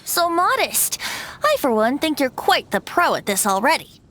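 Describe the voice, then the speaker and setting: Playful breezy youthful bard, high-pitched. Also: female voice, very animated, moderate pace, fairly bright tone, slight background noise. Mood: teasing. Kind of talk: scripted anime game voice acting.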